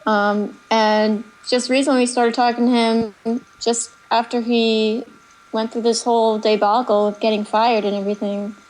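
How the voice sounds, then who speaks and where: A woman's voice: fairly high pitch, flat delivery, moderate pace, a little mellow, poor audio. A woman in a casual podcast conversation, speaking hesitantly with many fillers.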